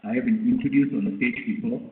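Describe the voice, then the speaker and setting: A man's voice: mid-range voice, quick pace, slight background noise. Man from singapore giving a polite formal speech, echoey hall, thin tinny audio. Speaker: airport CEO, guest of honor. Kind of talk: formal address. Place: large hall.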